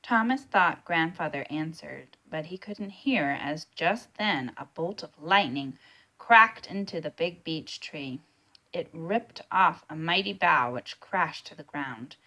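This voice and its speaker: A woman reading a children's storybook aloud in a deliberate, expressive way. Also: low-pitched, poor audio. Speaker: storybook reader.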